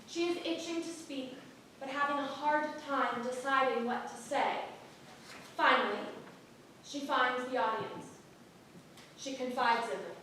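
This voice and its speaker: Stage narrator: woman, high voice, reading scripted directions, distant mic in a very echoey hall. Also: measured pace, long pauses, sharp tone, a little hiss or hum. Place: theatre stage, recorded from the audience.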